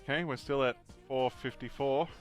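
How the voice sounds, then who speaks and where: A young man giving chatty let's-play commentary, thinking aloud with excitable bursts. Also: mid-range voice, moderate pace, faint music behind. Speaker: gaming channel host. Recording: noisy home recording.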